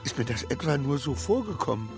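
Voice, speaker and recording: An actor playing an anxious, muddled clerk in a radio play, muttering hesitantly to himself in inner monologue. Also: male voice, medium pitch, very animated, fairly quick. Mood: bewildered, uneasy. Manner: hesitant, muttering to himself, swinging from murmur to outburst. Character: anxious, muddled male clerk, brooding and self-persuading. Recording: studio radio play with music.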